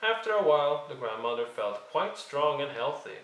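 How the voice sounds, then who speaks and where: Male storyteller for children's read-alongs: expressive, forceful bright tone, roomy echoing sound. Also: a little high, normal speed. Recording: roomy, distant microphone.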